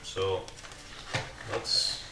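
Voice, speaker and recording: Man talking low, far from mic. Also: heavy background noise. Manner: thinks aloud while working, with halting restarts. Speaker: maker hosting a live build stream. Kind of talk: casual live workshop commentary. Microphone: condenser mic set away from him. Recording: distant, roomy mic with music playing. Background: music from a streaming app.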